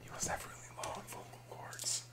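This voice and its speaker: A man hosting a casual, rambling ASMR video, slipping between vocal fry and whispering and often repeating his phrases. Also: normal speed. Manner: alternates hushed fry and whispering.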